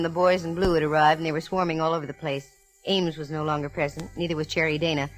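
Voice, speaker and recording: A woman's voice: deep voice, close to an everyday voice. An actress playing a self-assured, wry, flirtatious female private detective in a 1940s radio drama. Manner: wry, flirtatious, quick with quips. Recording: noisy old radio recording.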